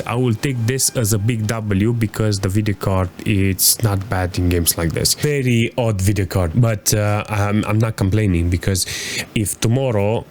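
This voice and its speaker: Lowish voiced guy rambling through game benchmarks, uh and you know a lot, faint room hiss. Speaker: hardware reviewer. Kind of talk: casual gameplay benchmark commentary. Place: small room at home, gaming chair. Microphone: desk mic with headset, webcam framing.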